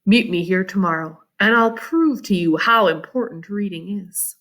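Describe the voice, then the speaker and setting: A woman reads as a kindly teenage farm boy from a storybook. The voice drops well down and stays calm and earnest. He talks with quiet, proud conviction. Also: mid-range voice, normal speed, pitched below the performer's own voice, lightly acted. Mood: quiet, encouraging.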